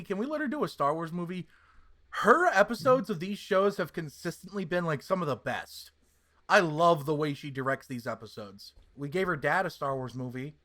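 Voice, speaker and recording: A man's voice: fairly high pitch, fairly bright tone. A man hosting a podcast, giving opinionated casual reviews in an emphatic, sweary way. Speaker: podcast host.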